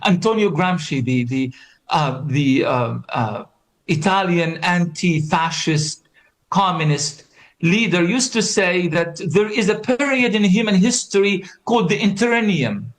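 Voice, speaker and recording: Panel speaker: man from Palestine, fairly high voice, impassioned, repeats key words to drive them home. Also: expressive, measured pace. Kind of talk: conference speech.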